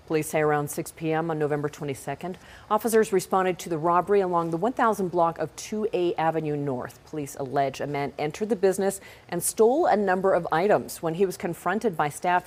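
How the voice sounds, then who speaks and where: A woman's voice: low-pitched, a little mellow. A Canadian woman reading scripted local news as an anchor.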